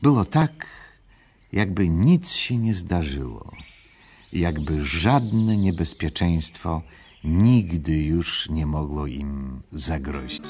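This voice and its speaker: An older actor narrating a children's storybook drama, calm and measured, with a husky voice. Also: male voice, extremely low pitch, exaggerated voice.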